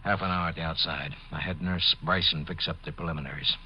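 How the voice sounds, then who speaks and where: An American actor playing a worried, dutiful military doctor in a 1950s radio drama. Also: male voice, deep voice, steady tone, fast talker, slight background noise, close to an everyday voice. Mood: grim, frustrated. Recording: muffled vintage 1950s radio recording.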